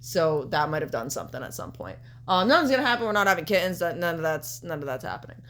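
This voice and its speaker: A woman's voice: low voice, very animated, fast, fairly bright tone, slight background noise. A young woman in her twenties hosting a casual podcast, a bit congested and sniffly, rambling with lots of ums and cheerful swearing. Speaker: podcast host.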